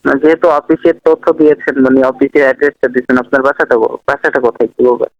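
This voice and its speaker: A man's voice: medium pitch, steady tone, racing, no room sound. A young Bangladeshi man making a prank phone call, asking casual, hesitant, repetitive questions. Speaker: prank caller.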